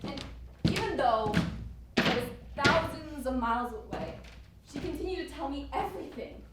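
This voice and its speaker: A woman acting an accusing, protective best friend in a stage play, speaking with emphatic dramatic pauses, recorded from afar in an echoey hall. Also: high-pitched, close to an everyday voice. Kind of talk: student one-act stage play acting. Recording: very echoey hall, far mic, faint noise.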